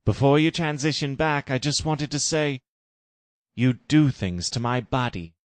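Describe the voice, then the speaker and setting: A man's voice: mid-range voice, a little slow, no room sound, voice pushed up, natural voice. A man voicing a devoted, lovesick man who speaks earnestly. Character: lovesick devoted man, later beaten and weak.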